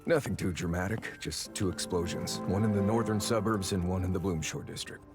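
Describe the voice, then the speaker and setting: An actor playing a deferential but blunt inventor aide in a dramatic game, explaining technical things in a measured, cautious way. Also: male voice, sits low, steady tone, music in the background. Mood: dry, matter-of-fact.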